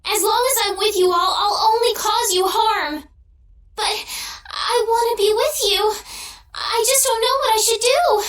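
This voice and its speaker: A very high, anguished young heroine. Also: female voice, unhurried, a bit bright. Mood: distraught. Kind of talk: video game voice acting.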